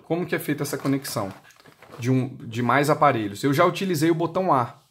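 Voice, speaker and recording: A man's voice: a little high, fast talker, fairly bright tone, a little hiss or hum. A man with a Brazilian accent demonstrating a product step by step, explaining by asking and answering his own questions. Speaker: product demonstrator for motorcycle intercom seller.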